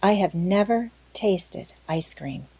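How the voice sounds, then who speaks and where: A woman acts out a young orphan girl in an audiobook. She is talkative and dreamy, gushing with earnest drama. The tone stays soft and mellow, recorded very dry. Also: mid-range voice, expressive, slow pace, slightly rough, dry recording, heavily acted. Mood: excited, dreamy. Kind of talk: audiobook character acting.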